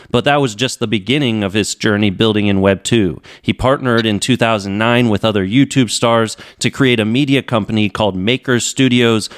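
A man's voice: fairly low pitch, dry recording, studio quality. A man in his thirties hosting an online audio interview show. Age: 30s. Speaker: talk show host.

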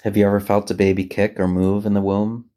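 A man speaking as a priest leading a guided scripture meditation, gentle and unhurried, with long pauses. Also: lowish voice, little pitch movement.

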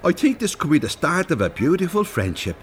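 An actor playing a chatty older Irish chancer in a radio drama, colloquial and teasing. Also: male voice, on the high side, sing-song, fairly quick, slight background noise. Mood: friendly, cheeky. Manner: colloquial, teasing, swears mildly. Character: chatty, cheeky older Dublin chancer, male.

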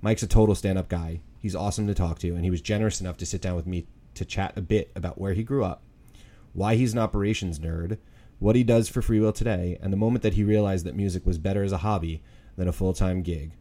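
Wry podcast host, lowish voice. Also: male voice, quick pace, mellow, reverberant. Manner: upbeat, wry, many fillers. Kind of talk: podcast intro then interview. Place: large conference room with windows.

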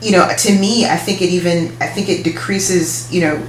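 Low-voiced woman in her forties, echoey room. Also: steady tone, normal speed, slight background noise. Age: 40s. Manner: agreeable, affirming, frank. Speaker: podcast co-host. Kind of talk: casual podcast chat.

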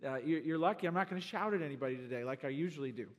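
A slightly hoarse man preaching a sermon to his church, conversational and joking, growing animated. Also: a little high, racing, long reverb tail. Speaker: pastor. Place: church sanctuary.

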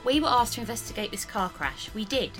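An actress playing a frank, determined investigator's assistant in a naturalistic audio drama. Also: female voice, on the high side, lively intonation, a little fast, music in the background, natural voice. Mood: insistent. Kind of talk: naturalistic radio drama dialogue.

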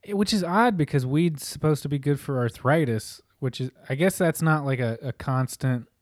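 A man co-hosting a casual chat, talking loosely and profanely with many restarts, his voice a little hoarse and raspy. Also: fairly high pitch, moderate pace, darkish tone, no room sound, studio quality.

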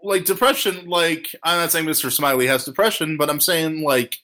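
A man's voice: on the high side, moderate pace, bright, forceful tone. A man talking casually and earnestly as part of a podcast discussion. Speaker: podcast panelist.

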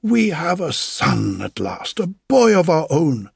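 Man as a doting, husky woodcarver father. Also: on the high side, very animated, a little mellow, no room sound, clean studio sound, pitched above the performer's own voice, full character voice. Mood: proud. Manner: deliberate, fond, emphatic. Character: doting old woodcarver father, gently instructive and proud. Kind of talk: audiobook character voice.

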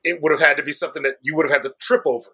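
Husky middle-aged man, chatty. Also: fairly high pitch, steady tone, rapid-fire, pushed and bright. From Chicago, USA. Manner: chatty, explanatory, peppers speech with "like" and "right?". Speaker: comic shop owner, guest. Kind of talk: conversational podcast interview.

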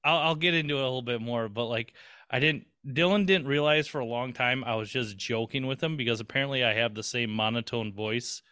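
A man's voice: mid-range voice, brisk, sharp tone, clean tone, no echo, clean studio sound. A man co-hosting a podcast in casual chat, rambling and swearing casually with lots of restarts. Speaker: podcast co-host.

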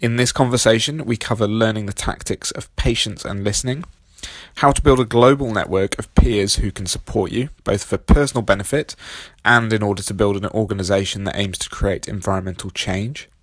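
Husky low-voiced male podcast host. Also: dry recording. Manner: steady, reads details slowly and spells them out. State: husky from illness. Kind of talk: scripted podcast intro.

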